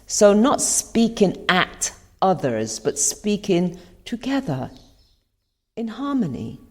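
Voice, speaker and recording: A woman's voice: mid-range voice, big pitch swings, slow pace, darkish tone. A woman hosting a podcast, talking earnestly through tips on communication and stressing key words. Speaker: podcast host. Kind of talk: motivational talk over video clips.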